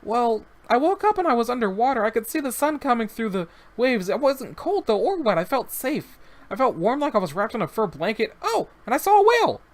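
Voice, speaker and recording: A man's voice: extremely high pitch, sing-song, a little fast, voice pushed up. A man voicing an earnest young boy who talks eagerly and rambles a bit about whales and a new friend.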